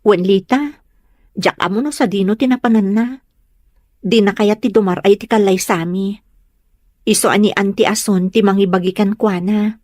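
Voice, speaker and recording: A woman playing an earnest, tender young bride-to-be who pleads gently in an Ilocano comedy drama. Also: medium pitch, normal speed, long pauses, no room sound, studio quality, natural voice. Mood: grateful, wistful.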